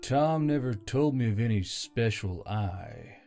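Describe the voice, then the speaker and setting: An actor playing a calm, analytical robot man with a metal skull head in a scripted story. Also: fairly low pitch, a little slow, gravelly, faint music behind. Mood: calm, puzzled. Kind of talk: scripted character dialogue.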